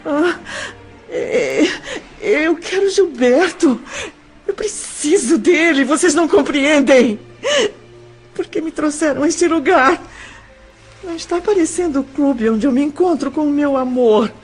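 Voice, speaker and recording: A woman's voice: sits high, lively intonation, measured pace, pauses often, faint music behind. A woman playing a lovesick, delirious young woman in an audio drama, emotional and pleading for her love. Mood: tearful pleading. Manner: breathy sighs, tearful emotional outbursts.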